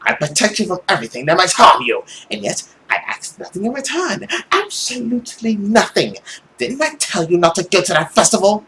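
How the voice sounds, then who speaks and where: Man acting a cruel, scolding mother, swooping pitch. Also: very animated, a little fast, sharp tone, slight background noise, heavily acted, low-quality recording. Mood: furious. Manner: harsh, scolding, dramatic. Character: cruel, furious, abusive mother, bitter and self-pitying.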